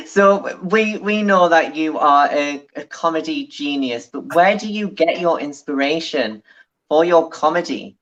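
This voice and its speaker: Slow-talking woman on a muffled call. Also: low-pitched, expressive, fairly bright tone. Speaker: talk show co-host, interviewer. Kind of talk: casual interview chat. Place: indoor room at home, on a video call. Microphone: video call. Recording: muffled, distant video call audio.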